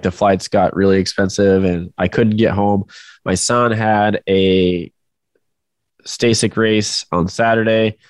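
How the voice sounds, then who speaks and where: A man's voice: fairly low pitch, dry recording. A man co-hosting a casual podcast, talking through a head cold in a joking, rambling way. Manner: joking, rambling, self-deprecating. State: stuffed up with a cold. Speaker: podcast co-host.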